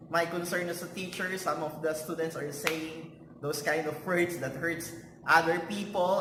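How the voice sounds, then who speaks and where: Earnest male teacher in echoey hall. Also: high-pitched. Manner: earnest, searching for words. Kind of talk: answering interview questions. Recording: echoey hall, background noise.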